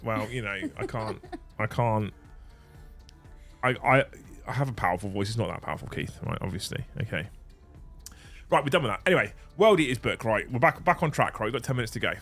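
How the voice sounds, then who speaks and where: A man's voice: on the low side, brisk, a bit bright, soft background music. Bantering man hosting a livestream. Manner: banter, swears freely, laughs often. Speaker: livestream host. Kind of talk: casual livestream chat.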